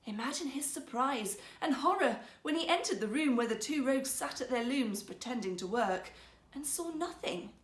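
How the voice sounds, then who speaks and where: A woman storyteller narrating a fairy tale in an expressive, theatrical, carefully phrased way. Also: high-pitched, normal speed, roomy sound.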